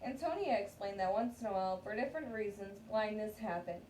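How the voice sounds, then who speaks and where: A woman's voice: medium pitch, expressive, brisk, a little mellow. A woman teacher leading an interactive read-aloud story time for young pupils, often checking in with short questions. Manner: addresses young pupils, frequent checking questions like "okay?" and "right?". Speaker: teacher reading aloud to a class. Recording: distant mic, clear background room noise.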